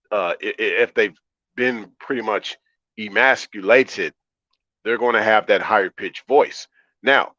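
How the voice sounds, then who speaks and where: Deep baritone man in a roomy, dull recording. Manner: calm, relaxed, repeats phrases for emphasis. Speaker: livestream host. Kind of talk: conversational livestream monologue, answering chat. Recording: roomy, slightly dull lo-fi audio.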